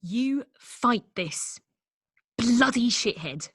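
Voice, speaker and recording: Casting: actress for British woman artist, loyal pal, blunt and breezy. Forward, bright tone, close dry sound on a video call. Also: fairly high pitch, animated, extremely slow, breathy edge, no room sound, heavily acted. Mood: firm. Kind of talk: script table read. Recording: video call table read.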